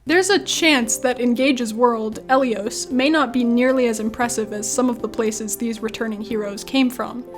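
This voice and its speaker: High-voiced woman giving video commentary. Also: normal speed, few pauses. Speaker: video essayist, YouTube host.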